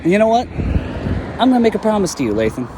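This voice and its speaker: A man acts out a cocky, earnest young-sounding hero for an audio drama. His voice sits on the high side and swings around a lot as he rambles emphatically in casual slang. There is some noise behind him. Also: sing-song, a little fast. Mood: earnest, determined. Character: brash, stubborn, kind-hearted heroic man, earnest and cocky.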